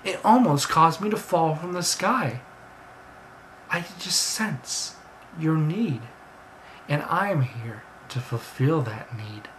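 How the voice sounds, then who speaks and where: A man's voice: a little high, lively intonation, slow pace, a bit bright, a little hiss or hum, pitched above the performer's own voice. A man voicing an insistent, earnest talking bird in a storybook tale, scolding and grand in manner, in an amateur recording. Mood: earnest, pleading. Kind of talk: storybook character voice.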